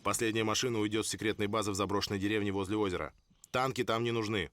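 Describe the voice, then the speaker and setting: A man's voice: lowish voice, fairly even intonation, rapid-fire, fairly bright tone, no room sound, lightly acted. Curt Soviet officer played by a man, clipped commands, dry close sound. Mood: commanding.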